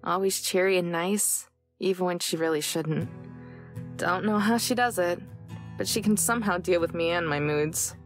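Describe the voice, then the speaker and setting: A woman's voice: lowish voice, moderate pace, lightly acted. An actress playing a heartbroken young woman in a visual novel, confiding and wistful, with raw emotion. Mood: tender, worried. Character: heartbroken young woman on a desert road trip. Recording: background music under voice.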